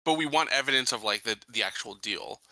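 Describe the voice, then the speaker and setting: A man's voice: mid-range voice, animated, brisk, sharp tone, no echo, rough recording. A man casually talking through plans as a player in a tabletop roleplaying game. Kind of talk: casual planning discussion.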